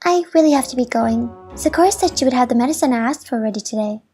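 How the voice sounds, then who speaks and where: A woman voicing a meek, timid, gentle pegasus mare with a hesitant manner in an amateur cartoon fan fiction reading. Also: sits high, expressive, quick pace, faint music behind. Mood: nervous. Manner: hesitant, soft-natured.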